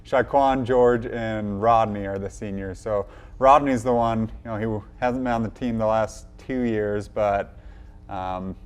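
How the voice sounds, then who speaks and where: A man's voice: fairly low pitch, fairly even intonation, unhurried, clean tone. A man who coaches college runners, talking casually in an interview. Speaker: college cross country coach.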